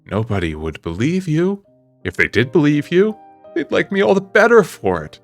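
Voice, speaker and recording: A man voicing a proud, vain young gentleman who is cold, mocking and scornful, speaking in a hard, deliberate manner for an audiobook. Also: a little high, huge pitch swings, vocal fry, soft background music, exaggerated voice.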